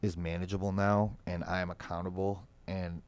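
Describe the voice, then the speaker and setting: A man's voice: low-pitched, flat delivery, moderate pace, darkish tone. A young man with a Boston accent, talking casually as a guest musician, swearing freely and filling with "like" and "you know". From Massachusetts. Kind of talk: casual podcast conversation.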